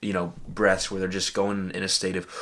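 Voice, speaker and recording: A man's voice: low voice, a bit bright, a little hiss or hum. A young man giving casual, earnest motivational advice to camera as a fitness vlogger, emphatic and peppered with "bro" and "literally.".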